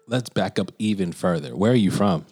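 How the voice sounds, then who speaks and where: A man's voice: low-pitched, expressive, normal speed, a little mellow, slightly rough. A man co-hosting a podcast, greeting listeners and prompting his partner with questions. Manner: upbeat, prompting interviewer. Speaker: podcast co-host. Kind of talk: casual podcast chat.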